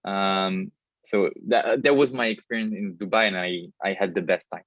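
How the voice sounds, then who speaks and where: Man from Israel, halting speech, dull lo-fi, dry. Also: sits low, steady tone, dry recording. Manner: halting, stutters on pronouns. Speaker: panel guest. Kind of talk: personal story in casual talk.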